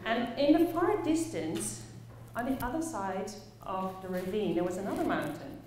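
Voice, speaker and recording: A woman from the Netherlands with a Dutch accent, telling personal stories as a conference speaker, expressively and with dramatic pauses. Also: medium pitch, animated, darkish tone. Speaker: conference speaker, play facilitator. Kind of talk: personal storytelling talk to a live audience. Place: echoey indoor conference room. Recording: very echoey room, faint background noise.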